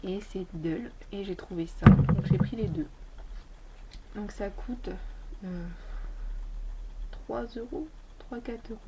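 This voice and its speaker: A woman's voice: medium pitch, slow, pauses often, heavy background noise. A woman beauty vlogger with a slight head cold, chatting casually and rambling through a review of her toiletries. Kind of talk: casual product review chat.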